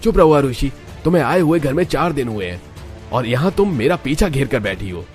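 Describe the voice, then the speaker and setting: A man's voice: medium pitch, animated, brisk, a little mellow, soft background music, voice pushed up, close to an everyday voice. A man voicing an angry, selfish young husband who scolds indignantly in a cartoon story. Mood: angry, scolding. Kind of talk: Hindi cartoon story voice acting.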